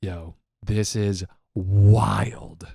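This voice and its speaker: A man's voice: lowish voice, fairly even intonation, very slow, gravelly, warm tone, breathy edge, no echo, clean studio sound. A man in his thirties co-hosting a casual reaction podcast, mocking clips with heavy swearing and frequent laughs. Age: 30s. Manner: profane, mocking, laughs often. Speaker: podcast co-host.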